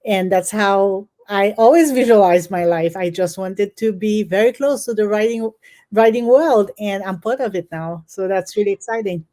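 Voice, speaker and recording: A middle-aged woman from Haiti, an author answering interview questions earnestly and thoughtfully, pausing often with ums and restarts. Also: mid-range voice, warm tone. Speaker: author guest.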